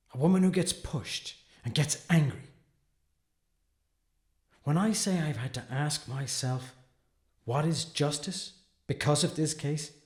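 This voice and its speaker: A man's voice: on the high side, echoey room. A man playing an earnest, protective defense attorney in an audio drama. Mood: reflective, impassioned. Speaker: actor playing a defense lawyer. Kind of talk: dramatic courtroom monologue and dialogue.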